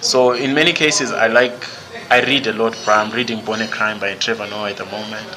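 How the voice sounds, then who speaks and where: A man's voice: on the low side, steady tone, brisk, low-quality recording. A young man from Zimbabwe, talking casually and emphatically as a guest in an interview. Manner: outspoken and emphatic. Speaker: podcast guest. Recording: music playing clearly underneath.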